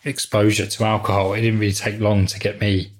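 A man's voice: on the low side, flat delivery, brisk. A man in a casual chat, teasing his co-host in a dry, matter-of-fact way.

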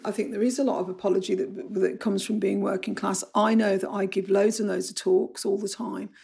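Gravelly Brummie woman, frank and wry. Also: medium pitch, little pitch movement, a little fast, mellow. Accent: Birmingham. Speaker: publishing professional who gives talks. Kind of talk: interview.

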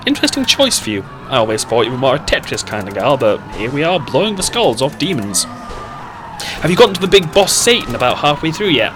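Audio drama casting: actress as sardonic teen daughter, cheeky and dodging questions, over audible background music. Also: female voice, rumbling low, big pitch swings, fairly quick. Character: sardonic teenage schoolgirl, cheeky and evasive with her mum.

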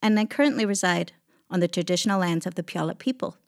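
A woman from Alaska speaking reflectively as an interview guest, in deliberate, measured phrases. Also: on the low side. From Alaska, United States. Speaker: podcast guest, executive director of an arts venue.

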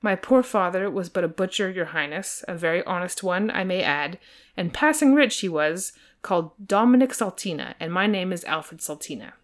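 A woman's voice: fairly low pitch, expressive, normal speed, natural voice. A woman voicing a humble, overawed man from a storybook, earnest and fawning toward his betters. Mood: nervous. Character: timid, humble, overawed social-climbing man.

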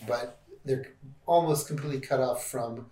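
A man asking questions as an interviewer in a casual, thoughtful, halting way. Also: medium pitch, dark tone. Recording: roomy, echoey sound.

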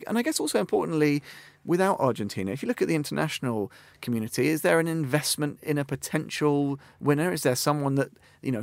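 A man's voice: a little high, gravelly, no room sound. A man hosting a radio studio discussion, steering guests with friendly, wry banter. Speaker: radio host.

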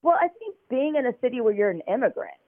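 A woman's voice: fairly high pitch, very animated, fast. American woman over a tinny phone line. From United States. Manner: chatty, storytelling, many fillers. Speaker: guest. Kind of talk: conversational interview. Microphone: phone call.

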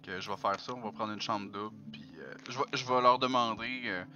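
A man's voice: lowish voice, brisk. A man playing a tabletop game, casually planning his moves. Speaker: player. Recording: dull, distant mic with faint music.

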